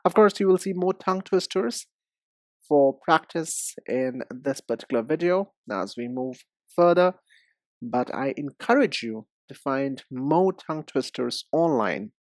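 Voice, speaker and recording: A man's voice: mid-range voice, animated, darkish tone, dry recording. Male English teacher, close dry sound. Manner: deliberate, didactic, addresses the learner directly. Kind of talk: instructional lesson with demonstrations.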